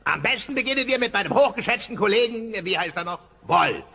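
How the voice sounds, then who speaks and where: Dinosaur chairman for comedy audio drama: scheming, blustering, swooping high, gravelly edge. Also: expressive, fast, sharp tone, slight background noise, exaggerated voice. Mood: officious. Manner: blustering, officious, exclaiming. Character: pompous, scheming male dinosaur committee chairman and boss. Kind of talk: comic radio play acting.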